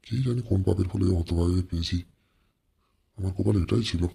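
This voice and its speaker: A man's voice: low voice, fairly even intonation, quick pace, vocal fry, dark tone, breathy edge, full character voice. A man playing a grumpy, exasperated father who scolds and grumbles in a comedy cartoon. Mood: grumbling.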